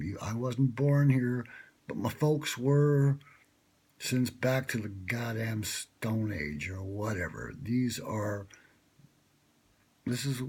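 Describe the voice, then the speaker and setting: Man, husky low voice, meandering late-night monologue, dry self-mocking humor, lots of ums and uhs, phone held close. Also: darkish tone. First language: English. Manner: meandering, wry, self-mocking, many fillers. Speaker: vlogger pitching a radio show. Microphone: smartphone held close.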